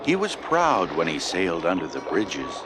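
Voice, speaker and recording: A man narrating a scripted children's story, with expressive delivery and deliberate phrasing. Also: low voice, poor audio. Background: loud music.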